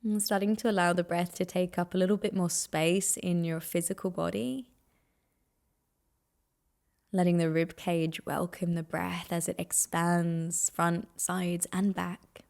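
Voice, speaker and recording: Female meditation guide: very slow, soothing delivery with a gravelly fry at the edges. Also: lowish voice, little pitch movement, a little mellow. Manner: soothing, unhurried instruction with pauses.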